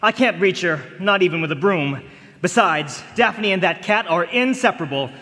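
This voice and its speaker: An actor playing a nervous, flustered fiancé in a radio drama, stammering and hesitant. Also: male voice, sits high, expressive, normal speed, pushed and bright. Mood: anxious, exasperated. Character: nervous, flustered male fiancé, a client in trouble. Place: large performance hall stage. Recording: echoey concert hall, live stage broadcast.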